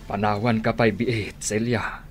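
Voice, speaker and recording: A man's voice: lowish voice, little pitch movement, a little slow, slight background noise, natural voice. A man playing a resolute, reassuring partner in a radio drama, with an Ilocano accent. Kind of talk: Filipino radio drama acting.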